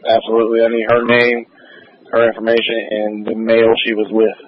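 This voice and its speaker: A man's voice: mid-range voice, monotone, a little fast, no echo. A man speaking terse, businesslike police traffic over a radio transmission. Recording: dull police scanner radio.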